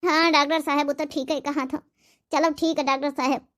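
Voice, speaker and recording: A woman's voice: high-pitched, level tone, brisk, fairly bright tone. A woman with an Awadhi accent playing a worried, devoted elderly village mother-in-law in a cartoon comedy. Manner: fretful, pleading.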